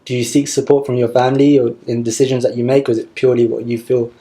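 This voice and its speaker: A man's voice: medium pitch, little pitch movement, fast talker, mellow. A man hosting a casual radio interview, putting questions to his guest.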